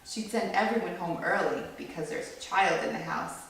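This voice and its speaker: A woman's voice: fairly low pitch, moderate pace, vocal fry, a bit bright. Woman reading a story aloud in an echoey room. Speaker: storyteller reading a picture book to children. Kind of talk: expressive read-aloud storytelling. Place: echoey indoor room with children listening. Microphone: far from the reader. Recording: distant mic, very echoey room, faint noise.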